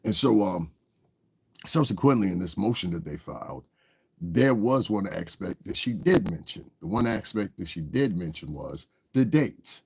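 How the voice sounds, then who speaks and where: Deep husky man, congested with a cold. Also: very animated, normal speed, darkish tone. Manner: rambling, talks straight to viewers, often asks "you understand". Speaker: livestream commentator. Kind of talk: casual livestream commentary.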